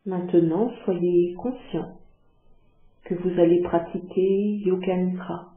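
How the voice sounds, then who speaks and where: Slow-speaking woman guiding relaxation, phone-like sound. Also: fairly low pitch. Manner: calm, measured instructions with long pauses. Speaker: yoga nidra instructor. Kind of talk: guided relaxation meditation. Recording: muffled phone-like sound, echoey, music behind.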